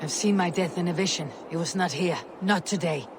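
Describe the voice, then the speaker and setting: A woman's voice: on the low side, a little fast, heavy background noise, close to an everyday voice. A woman playing a proud, fearless Viking warrior in a video game, with blunt, wry and stately phrasing. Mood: thoughtful, resolute. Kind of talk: dramatic video game acting.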